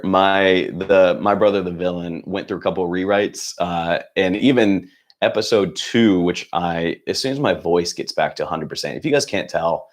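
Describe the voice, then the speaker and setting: Deep-voiced hoarse young man chatting. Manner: rambling, upbeat, many fillers and restarts. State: hoarse, losing his voice. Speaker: channel host. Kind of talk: casual livestream chat.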